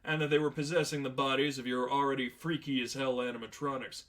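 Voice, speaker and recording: Man as a sardonic, bright-voiced demon hunter. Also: mid-range voice, brisk, natural voice. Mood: deadpan, flippant. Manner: matter-of-fact, deadpan, wordy and flippant. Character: sardonic, unflappable veteran male demon hunter. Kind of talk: dramatized fan fiction reading.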